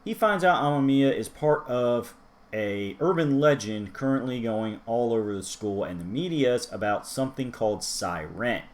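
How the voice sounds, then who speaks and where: A man's voice: mid-range voice, expressive, normal speed. A man in a middle register talks through a manga review in a loose, rambling way. He drifts into side remarks to the viewer and circles back to his point. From United States. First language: English. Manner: chatty and rambling, with asides to the viewer. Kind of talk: casual solo review talk.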